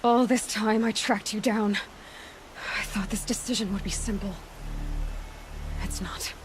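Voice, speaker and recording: An actress playing a determined young huntress in a video game, serious and measured, stern yet compassionate. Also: female voice, mid-range voice, steady tone, slight background noise, close to an everyday voice. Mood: solemn resolve. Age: young adult. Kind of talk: dramatic video game acting.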